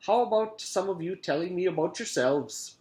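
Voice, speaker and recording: A man voicing a sinister male teacher who steals children's voices, in a raised, smooth put-on voice. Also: high voice, animated, normal speed, darkish tone, roomy sound, pitched above the performer's own voice. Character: sinister male voice-thief teacher with three nostrils.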